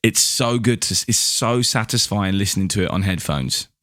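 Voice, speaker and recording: A male podcast host with a fairly low voice. He talks casually and effusively, full of enthusiasm, in a very dry recording. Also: moderate pace, fairly bright tone, no echo. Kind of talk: casual podcast interview chat.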